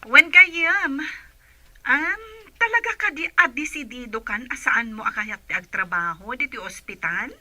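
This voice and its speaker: Radio drama confidante played by a woman: friendly, coaxing, Ilocano accent, very sharp high voice, slow delivery. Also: expressive, no echo, full character voice. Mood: encouraging. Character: helpful, encouraging female friend.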